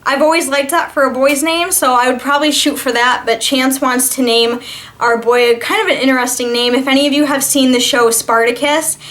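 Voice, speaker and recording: A woman's voice: sits high, fairly even intonation, brisk, a bit bright. A twenty-year-old woman chatting casually in a question-and-answer vlog, informal and talkative as she reads and answers viewer questions.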